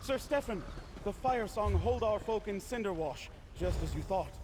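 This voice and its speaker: A man's voice: high voice, animated, moderate pace, background noise. A man voicing a dutiful knight scout giving an urgent report in a video game. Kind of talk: video game dialogue acting.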